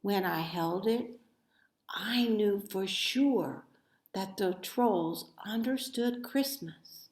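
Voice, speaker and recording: Slow-paced woman reading a children's story. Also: mid-range voice. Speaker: storybook reader. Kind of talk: expressive scripted children's story narration. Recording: echoey room.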